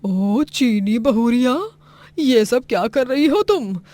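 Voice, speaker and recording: A woman's voice: fairly high pitch, lively intonation, takes it slowly, dark tone, no echo. A woman voicing a grumpy, scolding older mother-in-law in a story cartoon, sarcastic and full of exclamations. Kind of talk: moral story cartoon voice acting.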